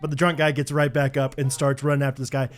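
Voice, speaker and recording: A man's voice: medium pitch, flat delivery, quick pace. A man co-hosting a podcast, telling a story in casual chat with lots of filler words. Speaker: podcast co-host.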